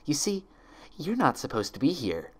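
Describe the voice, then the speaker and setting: A man voicing a composed, coolly menacing female pony in a storybook, poised and deliberate. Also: on the high side, huge pitch swings, husky, pitched above the performer's own voice, exaggerated voice. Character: composed, ominous mare doppelganger, coolly menacing.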